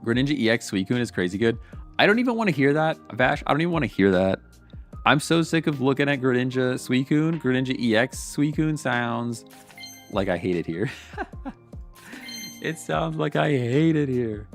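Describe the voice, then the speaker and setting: A man's voice: mid-range voice, lively intonation, moderate pace, faint music behind. A man with a congested, sniffly voice, casually reading card text aloud and reacting with wry opinions as a streamer. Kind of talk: casual pack-opening commentary.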